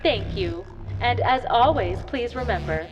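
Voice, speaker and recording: A woman's voice: a little high, lively intonation, measured pace. A woman playing an upbeat corporate announcer reading a scripted company message in a comedic audio drama. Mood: cheery. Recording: reverberant, muffled, music under it.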